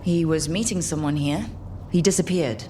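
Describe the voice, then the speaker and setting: An actress playing a confident, wry adventurer in a video game, with a direct manner. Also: female voice, low-pitched, moderate pace, warm tone, a little hiss or hum, lightly acted. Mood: firm, determined. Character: confident, wry adventuring woman, determined and teasing. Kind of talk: video game character acting.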